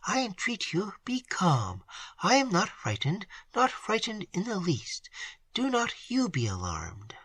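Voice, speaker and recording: A reader voicing Emily, a young gentlewoman forcing herself to sound calm, speaking softly, near a whisper. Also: medium pitch, a little slow, slightly rough, no echo, pitched above the performer's own voice, exaggerated voice. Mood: soothing. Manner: soft and hushed, near a whisper.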